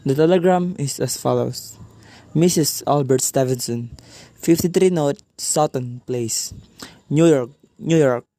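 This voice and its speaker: A man playing an impassive telephone and telegram operator in a radio play, formal, detached and measured. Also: mid-range voice, warm tone, dry recording, close to an everyday voice. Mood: detached, businesslike.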